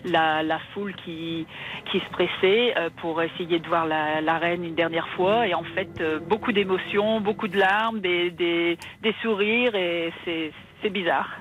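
A woman in her fifties from northern France calling a radio show, speaking in a halting, emotional way and repeating words. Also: lowish voice, fairly even intonation, narrow, tinny audio, soft background music. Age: 50s. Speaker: caller. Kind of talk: call-in radio chat.

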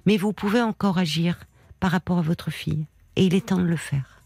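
A woman hosting a late-night radio call-in show, speaking gently and soothingly as she listens and sums up callers' stories. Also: fairly low pitch, fairly quick, gravelly, breathy edge, no echo. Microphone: studio microphone.

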